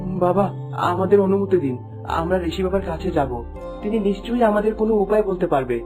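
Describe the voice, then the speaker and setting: A man's voice: high-pitched, fast talker, music in the background, natural voice. A man voicing an anxious, determined young prince in a fairy-tale cartoon, respectful and pleading.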